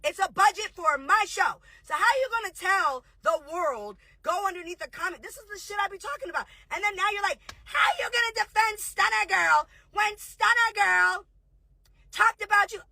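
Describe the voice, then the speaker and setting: A woman in her thirties goes off in a confrontational, profane livestream rant. Her voice sits high and sounds bright and cutting. It is recorded close up, dry, with no room sound. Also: no echo, poor audio. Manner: confrontational, profane, rapid-fire. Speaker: reality show host and producer.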